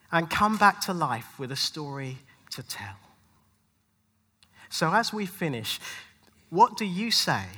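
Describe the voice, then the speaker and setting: A man preaches in rhyme with a forceful, high-set voice that rings through a long hall reverb. His delivery is dramatic and rhythmic, like performed poetry from a pastor, leaning hard on key words and leaving deliberate pauses. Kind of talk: spoken-word rhyming sermon. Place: large hall at a live church event.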